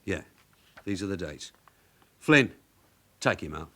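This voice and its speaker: An actor playing a shrewd veteran police sergeant, brisk and commanding, in a radio crime drama. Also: male voice, low-pitched, expressive, fairly bright tone, full character voice. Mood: cool, pressing.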